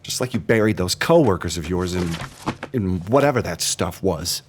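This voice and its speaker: An actor playing a skeptical, sardonic interrogator in an audio drama, dry and deliberate, stopping and starting in disbelief. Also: male voice, deep voice, animated, a little hiss or hum. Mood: stern, sardonic. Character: skeptical, sardonic male interrogator pressing a suspect.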